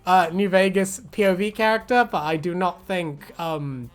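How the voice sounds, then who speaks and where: A woman's voice: lowish voice, normal speed. A woman co-hosting a video, chatting casually as a fan in a jokey, giggly, sweary way. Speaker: video co-host. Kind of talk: casual fan chat and commentary.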